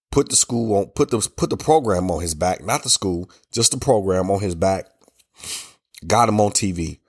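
An American man hosting a solo podcast in casual, colloquial, emphatic talk, with a stuffy, hoarse voice from a cold. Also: deep voice. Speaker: podcast host.

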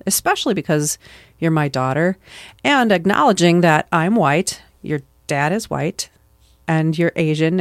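A woman's voice: low-pitched, no echo, studio quality. Earnest, reflective middle-aged mother. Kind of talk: casual conversation.

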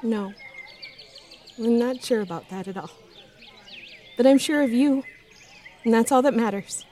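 A tender young woman in an audio drama, acted by a woman, with a fairly high voice. She sounds heartfelt and emotional, like someone shaken who still cares for her friend. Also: unhurried, mellow, close to an everyday voice. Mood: honest, tender.

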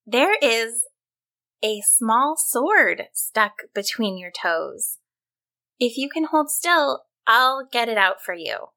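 Woman as bright, high-voiced fearless fairy-tale heroine. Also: very animated, slow, full character voice. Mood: helpful, focused. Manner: bold, unconcerned, high-pitched calling. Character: fearless, helpful young woman, storybook heroine. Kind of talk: storybook character voice.

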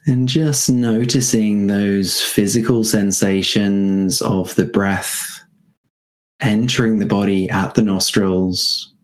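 A man guiding a meditation in a gentle, unhurried manner, leaving spacious pauses between short phrases. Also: on the low side, fairly even intonation. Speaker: meditation guide. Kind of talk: guided meditation.

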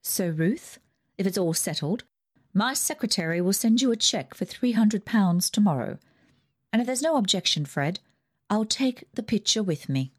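Brief: a high-pitched, imperious, wealthy aunt, voiced by a female reader for a storybook. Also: animated, moderate pace, husky. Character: wealthy bossy older woman aunt.

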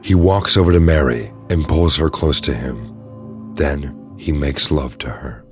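A man's voice: very deep voice, measured pace. A man reading scripted narration for an audio drama. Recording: music under the voice. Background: music.

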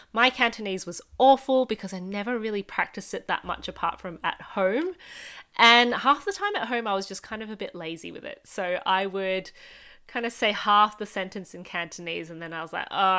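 Australian woman, 30s, smooth clear voice, chatty upbeat solo podcast host. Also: medium pitch, bright, forceful tone. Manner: chatty, upbeat, speaks straight to the listener. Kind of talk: casual solo podcast monologue.

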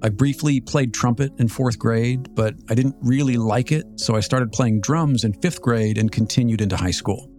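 A man in his fifties with an American accent, telling a scripted personal story as a podcast host in a measured, reflective, articulate way. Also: mid-range voice, darkish tone. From Southern California, United States. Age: 50s. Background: music.

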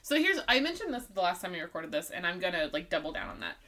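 Casting note: animated woman podcast co-host, chatty, full of questions and jokes. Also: fairly low pitch, fast. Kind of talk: casual chat.

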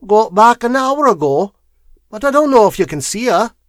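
A big, slovenly charwoman from a storybook mystery, put on by a man. The voice sits well above a usual male pitch, blunt and offhand. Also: sing-song, normal speed, no room sound, studio quality. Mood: doubtful. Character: big, slatternly, doubtful charwoman.

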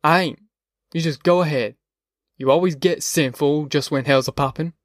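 A man's voice: a little high, moderate pace, no echo, lightly acted. A man voicing a wry, earthy farm father with a blunt, folksy manner in an audiobook. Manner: folksy, dry-humored, blunt.

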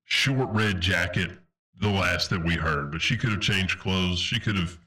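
Man playing a deep, forceful armored soldier. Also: unhurried, recorded from a distance, exaggerated voice. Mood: earnest, grave. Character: stern, earnest soldier in power armor. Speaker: game player voicing a character. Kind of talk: roleplaying game audio drama.

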